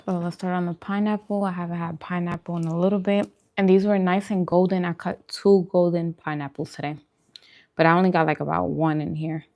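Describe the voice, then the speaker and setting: Casual, lower-voiced woman chatting over food. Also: little pitch movement, a little fast, dark tone. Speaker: host of a food channel. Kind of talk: casual livestream mukbang chat.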